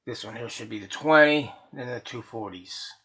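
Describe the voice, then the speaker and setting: A man casually talking through a hands-on restoration as he works, folksy and halting, often muttering to himself in a husky voice. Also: medium pitch, fairly bright tone. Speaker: host of a radio repair video, hobbyist restorer. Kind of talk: casual unscripted workbench narration while handling the work.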